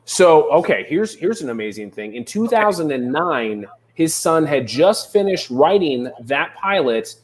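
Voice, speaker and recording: A man's voice: on the high side, measured pace, few pauses. A male actor, a guest on a casual video-call chat, telling comic anecdotes and acting out the people he quotes. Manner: expressive storyteller, acts out the people he quotes. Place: home in Burbank, on a video call.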